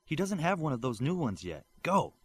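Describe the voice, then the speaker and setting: A man voicing a concerned, commanding male superhero in an audiobook, brisk and questioning. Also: a little high, big pitch swings, slightly rough, pitched above the performer's own voice.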